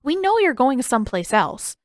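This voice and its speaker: Lady doing young boy in an audiobook, kinda high, earnest mild peacemaker type. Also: big pitch swings, moderate pace, dry recording, clean studio sound.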